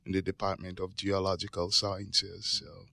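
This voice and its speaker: A low-voiced man in his forties with a Jamaican accent talks as an academic guest on a podcast. He explains in a conversational way, often tagging points with "right?", and the recording is dry and close. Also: flat delivery, moderate pace, gravelly, bright, forceful tone, no echo. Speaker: guest expert, geology professor.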